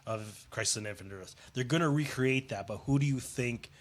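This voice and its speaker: A man's voice: mid-range voice, brisk. Phlegmy man with a cold, rambling. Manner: rambling, trails off with fillers. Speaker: podcast co-host. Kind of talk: casual chat.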